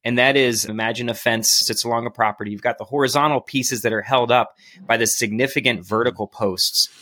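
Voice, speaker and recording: A man's voice: medium pitch, steady tone, a little fast, bright, forceful tone, dry recording. A man hosting a faith podcast interview, affirming his guest and leading the questions. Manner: affirming, with short agreeing interjections. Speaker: podcast host. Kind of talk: conversational interview.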